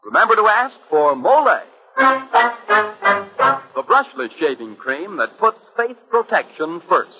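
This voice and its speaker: A male announcer delivers vintage radio advertising in a fairly high voice with lively swings of pitch. The sound is muffled and reverberant. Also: a little slow, pauses often, over music. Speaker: commercial announcer. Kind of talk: scripted 1940s radio advertising.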